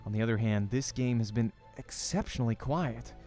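A man's voice: mid-range voice, normal speed, dark tone, faint music behind. A young man hosting a let's play, reading a visual novel aloud in the first person with dramatic storytelling.

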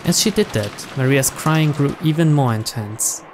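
A man's voice: a little high, expressive, moderate pace. A man reading visual novel narration aloud as a let's-play narrator. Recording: noticeable rain noise behind. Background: rain and game ambience.

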